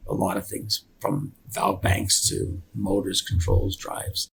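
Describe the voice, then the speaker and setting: A man's voice: sits low, completely flat delivery, takes it slowly, creaky, noisy background. A man speaking as a company founder in a conversational interview, earnestly explaining his opinions at length. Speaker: business founder and director being interviewed.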